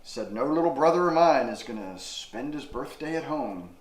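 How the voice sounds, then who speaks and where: A man's voice: medium pitch, lively intonation, normal speed, close to an everyday voice. Wounded man in a roomy drama scene acted by a man. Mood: bitter, restrained. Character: wounded man confronting his brother over betrayal. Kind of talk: dramatic screen monologue acting. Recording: roomy, with faint music underneath.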